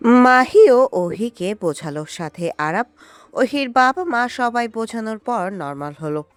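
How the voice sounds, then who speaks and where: A woman's voice: fairly high pitch, lively intonation, unhurried, no room sound. A woman reading a romantic story as a narrator, in a dramatic scripted style. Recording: music behind the voice. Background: background music.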